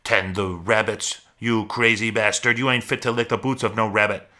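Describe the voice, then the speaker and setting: A man acts out a huge, spiteful storybook rabbit with a bright, hard-edged voice. It jeers and browbeats in rustic speech, mean and taunting. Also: on the low side, fairly even intonation, moderate pace. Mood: contemptuous. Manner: jeering, browbeating rustic talk.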